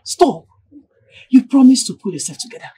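A woman's voice: fairly high pitch, animated, normal speed, warm tone, breathy edge. An actress playing a middle-aged mother with a Nigerian accent who scolds and then soothes her heartbroken daughter with pleading repetitions. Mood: soothing. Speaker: mother of the heroine. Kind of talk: film drama acting.

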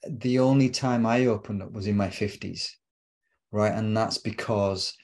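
A man's voice: lowish voice, fairly even intonation, measured pace, a little mellow. Reflective middle-aged man. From Britain. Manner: reflective, repeats words while searching for phrasing. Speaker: relationship coach guest. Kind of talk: casual interview talk. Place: home room with bookshelves. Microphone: video call.

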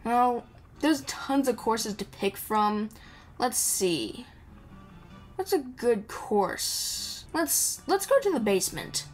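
A woman's voice: on the high side, big pitch swings, slow pace. A woman giving casual, improvised gameplay commentary in a playful, chatty way. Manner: chatty, playful, mumbles asides to the game character. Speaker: gamer hosting a playthrough. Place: indoor voice-over recorded over game audio. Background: video game music.

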